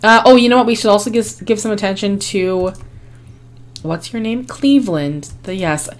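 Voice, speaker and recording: A woman hosting a life-sim game video, her voice hoarse and fading, chatting casually with frequent ums and small laughs. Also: medium pitch, normal speed. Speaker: gaming channel host. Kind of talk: casual gameplay commentary.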